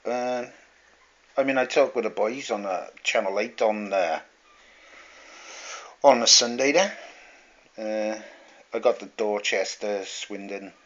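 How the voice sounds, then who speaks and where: A man's voice: fairly low pitch, slow, long pauses, a bit bright. Dull lo-fi radio, man chatting. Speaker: amateur radio operator. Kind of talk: casual radio chat. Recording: dull, lo-fi two-way radio audio.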